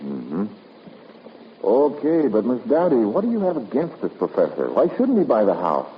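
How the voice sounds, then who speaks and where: Skeptical investigator, direct, brisk, 1950s radio drama, dull noisy recording. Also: male voice, mid-range voice, huge pitch swings. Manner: direct, businesslike, questioning.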